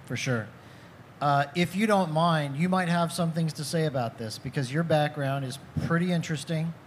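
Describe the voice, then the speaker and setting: A man's voice: fairly high pitch, normal speed, some chatter behind, a little hiss or hum. Man hosting a live panel. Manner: friendly, introducing guests. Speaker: host.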